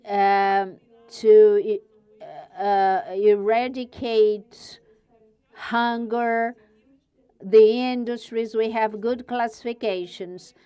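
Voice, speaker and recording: Slow, smooth-voiced Portuguese woman speaker. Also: medium pitch, dark tone. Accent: European Portuguese. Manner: careful, searching for words. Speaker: guest speaker, university researcher. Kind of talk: conference presentation.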